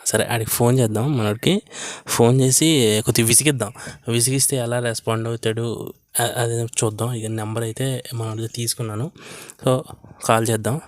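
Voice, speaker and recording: A man's voice: medium pitch, steady tone, normal speed, no room sound. A man casually making a comedy prank call, persistent and mock-earnest in his pleading. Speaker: prank caller and host.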